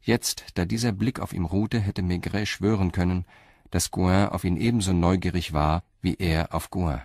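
An actor playing the measured narrator of a detective radio play, reading scripted prose. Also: male voice, deep voice, steady tone, a little mellow, no room sound. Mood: measured, reflective.

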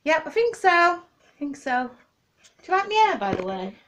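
A woman's voice: high-pitched, sing-song, moderate pace. A middle-aged woman joking and giggling on a webcam in a small room at home. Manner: playful, giggly. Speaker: vlogger's wife. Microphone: webcam at desk. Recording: noisy home webcam audio.

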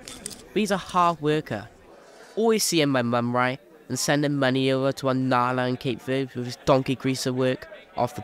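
An actor playing a cheeky, boastful young chimney sweep in an audio drama. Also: male voice, medium pitch, natural voice.

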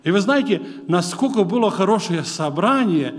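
A middle-aged man from Ukraine, speaking Russian with a Ukrainian accent, preaching an animated sermon full of personal stories at a lectern. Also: high-pitched, moderate pace, in a large hall. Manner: animated, gesturing, voice rising and falling. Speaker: guest preacher. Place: large church hall with congregation. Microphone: lectern mic.

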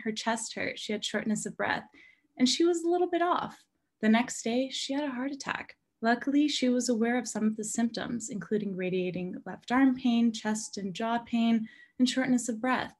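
Earnest young woman reading a heartfelt talk. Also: fairly high pitch. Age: young adult. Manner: earnest, heartfelt, carefully read delivery. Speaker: public health graduate student presenter. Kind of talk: prepared personal presentation. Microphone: video call.

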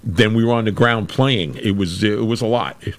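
A middle-aged man with a very deep voice, chatting casually as a podcast host over a video call. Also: normal speed. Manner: chatty, with restarts and laughs. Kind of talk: casual interview chat. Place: room with a brick wall.

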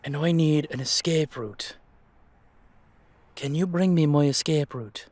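A man's voice: a little high, a little slow, darkish tone. Man playing a protective Irish dullahan. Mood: reassuring. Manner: reassuring, colloquial. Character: protective, gruffly affectionate headless dullahan man, brotherly. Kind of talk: audio roleplay drama.

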